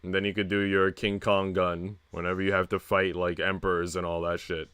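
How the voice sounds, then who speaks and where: A man's voice: sits low, little pitch movement, fairly quick, fairly bright tone, clear, resonant tone, no echo. A young man streaming a visual novel in a laid-back, slangy way, switching between casual chat and reading aloud. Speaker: game streamer. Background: music.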